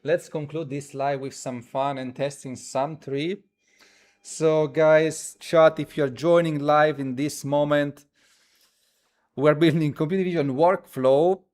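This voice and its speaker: A man who speaks as a hosts a casual live coding stream, thinking aloud haltingly and repeating his phrases as he demonstrates. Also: on the high side, steady tone, darkish tone. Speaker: livestream host.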